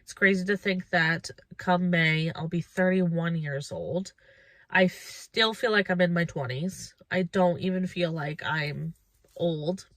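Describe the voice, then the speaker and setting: A woman's voice: on the low side, measured pace, a bit bright, no room sound. A woman of about thirty chatting casually and reflectively to her viewers as a lifestyle vlogger, informal and thinking aloud.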